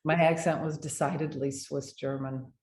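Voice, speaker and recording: An older American woman with a low voice tells animated stories about her work as a dental hygienist. She speaks in a casual, conversational way. The room sounds echoey, and faint noise sits underneath. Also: normal speed. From United States. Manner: animated anecdotes with laughter. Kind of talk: casual interview storytelling.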